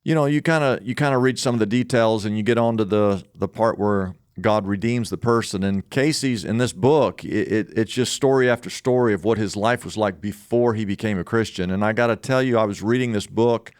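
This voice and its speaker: Smooth low-voiced man hosting an interview. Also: brisk, few pauses, no room sound, studio quality. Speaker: interview host. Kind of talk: conversational interview introduction.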